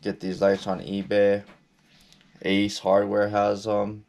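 A young man with a low, gravelly voice talks through his fishing gear at an easy, unhurried pace. His delivery stays flat and laid-back, full of ums and restarts. He sounds like he is recorded on an action camera in a cluttered indoor spot. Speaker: fishing vlogger. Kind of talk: casual gear walkthrough.

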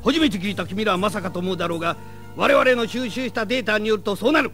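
A man's voice: sits high, fast talker, fairly bright tone, soft background music. A man playing a stern, zealous commander of a secret space force in a grandiose, declamatory audio drama style. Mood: grave, declaiming.

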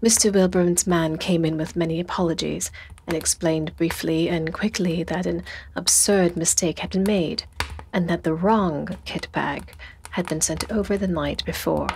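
A woman's voice: on the low side, fairly even intonation, moderate pace, vocal fry, a little mellow. Woman narrating a spooky tale, noisy backdrop. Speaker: audiobook narrator. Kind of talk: dramatic scripted narration of a spooky story. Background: atmospheric sound design and music.

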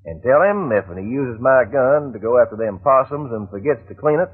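An actor playing a talkative, good-natured backwoods soldier with an American Southern accent, folksy and rambling, in old-time radio drama style. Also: male voice, mid-range voice, animated, normal speed, slightly rough. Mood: thoughtful. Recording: muffled, narrow-band old radio recording.

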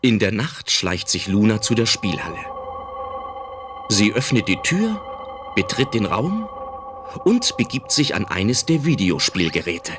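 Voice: medium pitch, sing-song, measured pace, pauses often, music in the background. A narrator reading scripted narration for an audio drama.

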